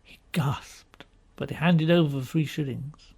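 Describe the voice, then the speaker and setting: A man's voice: a little high, measured pace, gravelly, a little mellow, slightly rough. A man reading scripted story narration as an audiobook narrator.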